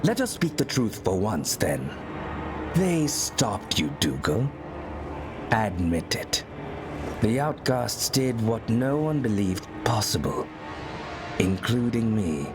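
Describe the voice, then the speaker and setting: Very deep-voiced, weary defiant man. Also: very animated, slow, long pauses, heavily acted. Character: weary, defiant, honourable man, devoted observer. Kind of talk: dramatic fantasy game acting. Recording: loud music under the voice.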